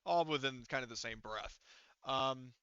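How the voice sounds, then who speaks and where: Male game master, crisp and bright, casually talking players through rules, thinking aloud, very dry close sound. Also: mid-range voice, measured pace, dry recording, dull audio.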